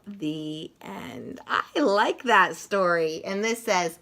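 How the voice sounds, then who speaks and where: A woman reading a picture book aloud to children in an expressive, playful way, with sing-song emphasis on repeated sound words. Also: mid-range voice, slow pace.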